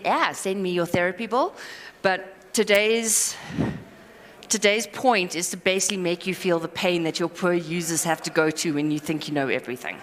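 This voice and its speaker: A woman giving a live conference talk, rambling and self-deprecating with quick asides and apologies. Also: medium pitch, a bit bright. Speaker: conference speaker. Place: conference hall. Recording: hall reverb.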